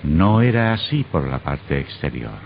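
The grave narrator of an old radio horror serial. His voice is exceptionally deep and full of menace. The recording is muffled and clearly noisy. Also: very animated, a little slow, full character voice. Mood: suspenseful.

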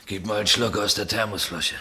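An actor playing a gruff, self-justifying officer on night duty in a German radio play, rough-voiced and colloquial. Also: male voice, on the low side, fairly even intonation, brisk, noisy background, close to an everyday voice. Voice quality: rough, husky. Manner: colloquial, grumbling.

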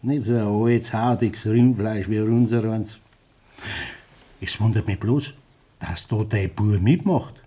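An actor playing a wry middle-aged Bavarian drinking companion in a radio drama, teasing in colloquial dialect. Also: male voice, fairly low pitch, slow, dark tone, slightly rough. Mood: teasing, amused.

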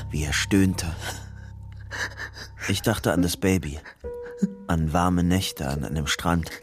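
Troubled young fugitive, low voice, brooding crime radio drama narrator, intimate delivery, music underneath. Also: male voice. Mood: haunted. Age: young adult. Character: young man on the run, troubled, haunted narrator.

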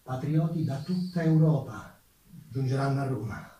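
A man's voice: medium pitch, warm tone. A man delivering dramatic theatrical narration of history, declamatory and emphatic. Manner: declamatory, emphatic, measured pauses. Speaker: stage actor, narrator. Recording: roomy, distant stage sound with music.

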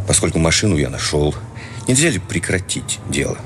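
An actor playing an earnest, worried priest in a radio play, mild-mannered, hesitant and admonishing. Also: male voice, very deep voice, very animated, brisk, noisy background. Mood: conciliatory.

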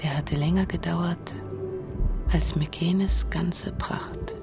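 A woman narrating a literary science-fiction radio drama in a measured, precise manner. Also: on the low side, fairly even intonation, gravelly, in a whisper. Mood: measured, storytelling. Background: music.

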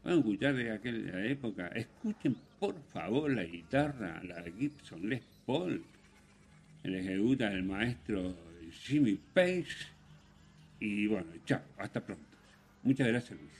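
A man presenting a music radio show with unscripted commentary, speaking in a halting, digressive way and stressing key words, in a husky voice. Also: on the low side, lively intonation, a little mellow, a little hiss or hum.

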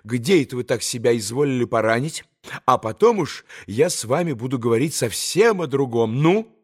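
A man voicing a bossy, excitable young girl. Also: medium pitch, moderate pace, breathy edge. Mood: scolding. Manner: commanding, urgent, impatient outbursts.

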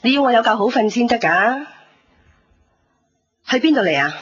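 A middle-aged Hong Kong Cantonese mother, scolding and reproachful. Her fairly high voice swings widely in pitch. The radio drama recording is slightly dull, with music behind her. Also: expressive, moderate pace, husky. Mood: suspicious. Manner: reproachful, firing rhetorical questions.

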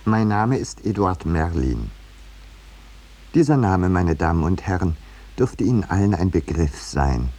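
An actor playing an urbane, slightly pompous middle-aged painter who tells his story with measured irony in a 1950s radio play. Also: low voice, dark tone, husky, heavily acted. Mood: self-satisfied, wry. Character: landscape painter Eduard Merlin, urbane wry storyteller, looking back.

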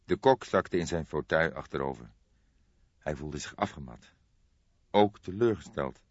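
Deep-voiced man narrating a detective novel. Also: animated. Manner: measured, dramatic storytelling. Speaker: audiobook narrator. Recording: boxy, echoey, dull recording.

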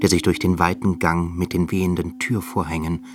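A man narrating a literary radio drama in a sober, measured manner. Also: low-pitched, level tone, lightly acted.

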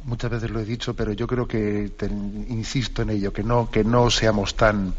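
A man's voice: on the low side, level tone, moderate pace. Man, Spanish accent, priest teaching on live radio, earnest and insistent, lots of fillers, dull lo-fi broadcast sound. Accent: Spanish from Spain. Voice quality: many fillers and rhetorical tag questions. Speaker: priest hosting a radio catechism program.

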